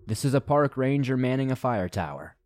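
A man's voice: medium pitch, expressive, a little fast, a little mellow, dry recording, pitched above the performer's own voice, natural voice. A man voicing a nervous, hesitant rookie park ranger in a horror story. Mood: uneasy, formal. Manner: hesitant, uneasy.

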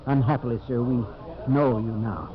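An actor playing a dignified, principled leader of forest settlers in a 1950s radio drama, with a solemn, formal delivery. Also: male voice, medium pitch, fairly even intonation, moderate pace, crowd noise, close to an everyday voice. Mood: grave, reproachful. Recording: muffled 1950s radio broadcast, audience sounds.

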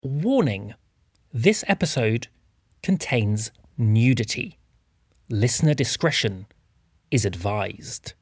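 A man's voice: medium pitch, expressive, slow pace, pauses often, a little mellow, husky, dry recording. A man reading scripted promotional narration as an announcer.

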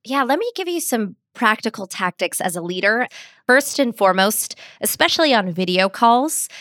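A woman's voice: fairly high pitch, expressive, normal speed, a bit bright, no room sound, clean studio sound. Methodical woman giving numbered advice. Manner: methodical, lays out numbered points. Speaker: communications expert guest. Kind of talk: interview answers, structured advice.

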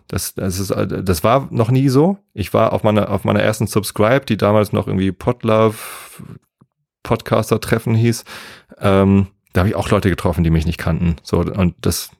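A man, a podcast guest chatting in a relaxed, rambling way full of fillers. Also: fairly low pitch, gravelly, dry recording, studio quality. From Hamburg area, northern Germany. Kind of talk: casual podcast chat.

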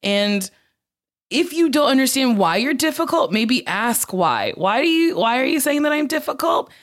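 A woman's voice: sits high, sing-song, moderate pace. Woman with a scratchy voice chatting casually. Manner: loose, joking, swearing. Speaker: podcast guest. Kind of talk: casual chat.

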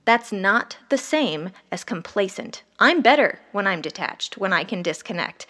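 A woman's voice: mid-range voice, fairly bright tone. A woman playing an ambitious, detached ER doctor in an audio drama, confessional and intense. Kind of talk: first-person dramatic narration and dialogue.

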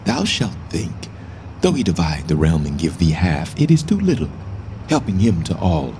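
A fallen medieval king, grieving and bitter. The voice is low and theatrical, shaping poetic verse for a Shakespearean radio drama. A little background noise sits behind it. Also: sing-song, normal speed, darkish tone. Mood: bitter, accusing.

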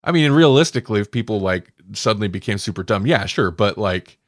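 An animated man talks casually as a podcast co-host. His pitch swings widely and he sounds amused. The sound is dry and close. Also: a little fast, no echo. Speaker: podcast co-host reviewing audio dramas. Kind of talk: casual chat.

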